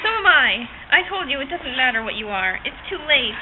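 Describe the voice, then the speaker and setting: A woman's voice: sits high, big pitch swings, fairly quick, pitched above the performer's own voice. Woman as a plaintive lovestruck teenage girl, tinny audio. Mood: defiant, hurt. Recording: tinny, band-limited, noisy amateur recording.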